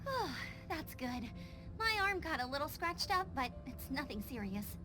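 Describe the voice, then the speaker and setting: Girlish, very high-voiced young adventurer. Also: very animated, moderate pace, over music. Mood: concerned, urgent. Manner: earnest, encouraging. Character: caring, energetic young female adventurer. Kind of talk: anime-style game voice acting.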